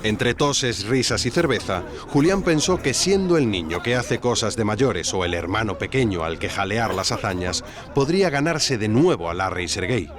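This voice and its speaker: A man's voice: lowish voice, expressive, moderate pace, crowd noise. A man with an accent from Spain giving scripted, dramatic documentary narration. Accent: Spanish (Spain).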